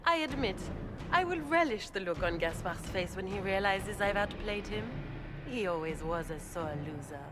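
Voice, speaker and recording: A woman's voice: medium pitch, expressive, normal speed, background noise, natural voice. A woman playing a smug, scheming royal villainess in a fantasy video game, speaking with theatrical condescension. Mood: gloating. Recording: music behind the voice.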